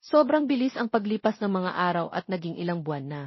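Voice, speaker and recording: A woman's voice: on the low side, very animated, brisk, slightly rough. A woman reading a romantic story as a scripted audiobook narration. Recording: roomy, slightly dull recording.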